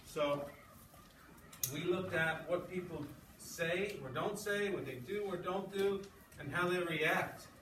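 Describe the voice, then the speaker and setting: Higher-voiced male teacher, echoey noisy classroom. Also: far from the mic. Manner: thinking aloud, often checking with "okay" and "right". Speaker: English teacher. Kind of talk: classroom lesson.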